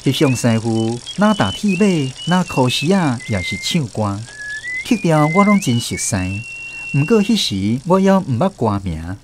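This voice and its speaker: A man's voice: medium pitch, very animated, unhurried, noisy background. A man with a Taiwanese accent playing a grown man who narrates fond memories of his country childhood in a radio drama. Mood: reminiscing.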